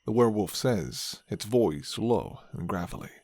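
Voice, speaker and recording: A man narrating a scripted fantasy story in the second person, with measured, dramatic storytelling. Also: fairly low pitch, lively intonation, darkish tone, no echo.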